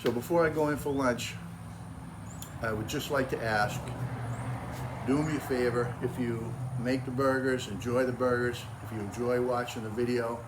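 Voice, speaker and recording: A man's voice: mid-range voice, fairly even intonation, moderate pace, background noise. A man in his sixties with a Boston accent, chatting casually to camera about grilling in his backyard, in a folksy, friendly way. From Cape Cod, Massachusetts. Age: 60s. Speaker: grilling vlogger.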